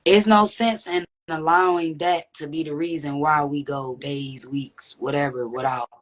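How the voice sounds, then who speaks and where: A woman with a deep, raspy voice, speaking candidly and reflectively as a podcast guest. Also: steady tone, unhurried. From Texas. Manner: reflective, earnest, with frequent "you know what I'm saying". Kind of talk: candid conversational interview.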